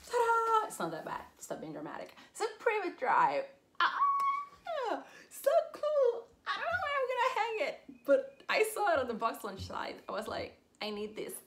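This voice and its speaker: A woman's voice: very high-pitched, normal speed. A young woman in her twenties, raised in Puerto Rico with Spanish as her first language, chatting casually and animatedly in a collector's haul video from a room full of shelves. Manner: chatty and animated, squealing with excitement, frequent laughs. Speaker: collector vlogger. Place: collectibles-filled room indoors. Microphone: camera at desk distance.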